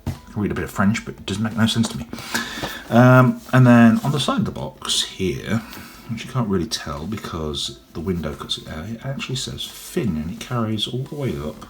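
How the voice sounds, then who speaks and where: Young man, low gruff cold-roughened voice, loose rambling toy-review delivery. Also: very animated, moderate pace. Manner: rambling, chatty, frequent ums. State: has a cold, voice deep and gruff. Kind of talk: casual unboxing review. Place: indoors at a table, off camera.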